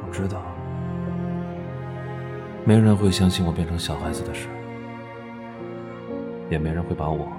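Man playing deep, plaintive, anguished man. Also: moderate pace, pauses often, over loud music, natural voice. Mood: despairing. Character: anguished grown man trapped in a small boy's body. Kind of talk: modern audio drama acting.

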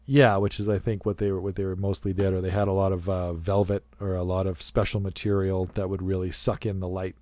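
A man's voice: low voice, level tone, a little fast, hardly any pauses, darkish tone, no echo. A man in his late forties co-hosting a casual film discussion, rambling and correcting himself as he goes. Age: 40s. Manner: rambling, self-correcting, laughing asides. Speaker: podcast co-host. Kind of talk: casual movie commentary chat.

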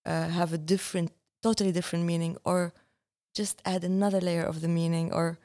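A young woman, a filmmaker talking as the guest in a relaxed interview and often restarting as she searches for words. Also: on the low side, brisk. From Syria. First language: Arabic. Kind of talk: casual conversation.

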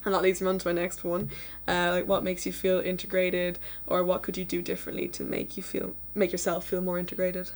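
Young woman, student host, low-ish pitch, very level intonation, casual hedging talk. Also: monotone, fairly quick, hardly any pauses. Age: young adult. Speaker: student host asking questions. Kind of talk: casual chat.

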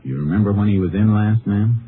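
Deep-voiced, terse Texas Ranger played by a man. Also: slight background noise, natural voice. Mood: intent, deducing. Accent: American. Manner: terse, deliberate, matter-of-fact. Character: calm, methodical male Texas Ranger investigator. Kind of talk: 1950s radio crime drama acting and narration. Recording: muffled old-time radio recording with music.

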